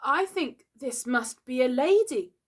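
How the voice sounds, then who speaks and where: Woman doing high-pitched, wide-eyed young boys. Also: animated, measured pace, fairly bright tone, heavily acted. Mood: scared sorrow. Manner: exclaiming, awed. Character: band of simple, wondering, easily scared young boys.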